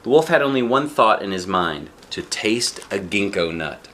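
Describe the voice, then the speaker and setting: A man reading a storybook aloud to a child, with dramatic drawn-out phrasing and casual asides. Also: lowish voice, lively intonation, a bit bright, a little hiss or hum. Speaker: dad reading a picture book to a child.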